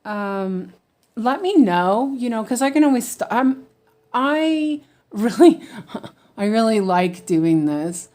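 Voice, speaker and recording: Relaxed American woman, slow and rambling, chatting to viewers while she stitches. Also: a little high. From United States. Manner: rambling, chatty, frequent ums and restarts, laughs easily. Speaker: weaving teacher. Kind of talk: casual stitch-along chat.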